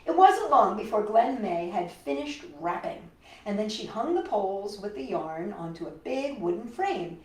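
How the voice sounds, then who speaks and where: A woman reading a picture book aloud to children, expressive and full of wonder, pausing for chatty questions to listeners. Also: mid-range voice. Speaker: children's storytime host. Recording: roomy echo, distant microphone.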